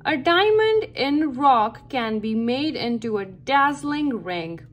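A woman reading a nonfiction picture book aloud for children, with careful, deliberate and expressive phrasing. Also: high-pitched. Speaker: read-aloud narrator for children. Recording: background music under the voice. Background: music.

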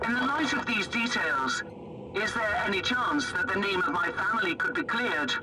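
A woman's voice: medium pitch, expressive, moderate pace, over loud music, lightly acted, rough recording. A woman playing a proud, formal noblewoman who captains a ship in a video game, composed and dutiful. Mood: guarded hope.

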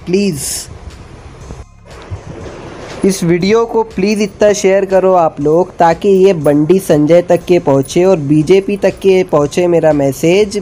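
A man's voice: a little high, mellow. A young man from Maharashtra with an Indian accent, making an insistent, repetitive political appeal addressed directly to someone. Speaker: activist caller.

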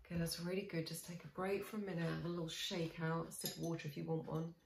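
A woman in her forties guiding a tapping session, speaking slow, soothing, repeated affirmations with sighs. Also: fairly low pitch, flat delivery, vocal fry, slight background noise. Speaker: EFT tapping coach. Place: small room indoors. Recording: echoey small room.

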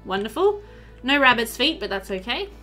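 A young woman hosts a casual gaming video. Her voice sits a little high and swings around a lot in pitch. She chats and thinks aloud as she plays, with faint game music behind her. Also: big pitch swings, normal speed, pushed and bright. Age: young adult. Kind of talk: casual let's play commentary. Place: indoors at a computer.

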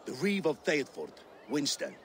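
An actor playing a gruff, middle-aged Norse warrior in a video game, measured and earnest. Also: male voice, fairly high pitch, wildly swooping pitch, a bit bright, a little hiss or hum, exaggerated voice. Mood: cautiously hopeful. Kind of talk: dramatic video game dialogue.

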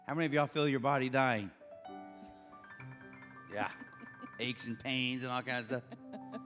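A man's voice: medium pitch, measured pace, a bit bright. A middle-aged man, a pastor preaching a conversational sermon from a stage with a handheld mic, earnest and building to emphatic bursts. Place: large church stage. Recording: long reverb of a church hall, faint music.

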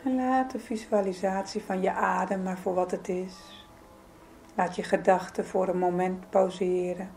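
Slow-paced woman guiding a meditation. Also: medium pitch, fairly even intonation, gravelly, soft background music. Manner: soothing, gentle, unhurried with pauses. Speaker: yoga nidra meditation guide. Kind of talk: guided meditation, calm spoken instruction.

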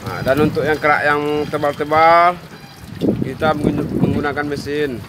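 An upbeat man talks casually through a phone camera, his voice sitting a touch high. Engine rumble and water-jet spray roar loudly around him in an outdoor workshop yard. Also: flat delivery, normal speed. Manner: chatty, upbeat, showing off the work. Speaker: car detailing vlogger.

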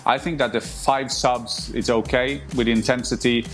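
A man talking in a measured way as a football pundit in a casual panel debate. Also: medium pitch, steady tone, a bit bright, faint music behind.

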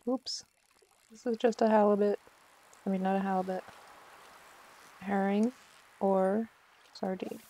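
A woman's voice: medium pitch, steady tone, a little slow, pauses often, warm tone, a little hiss or hum. A woman giving casual, chatty commentary on a live game stream, talking to herself with mock exasperation.

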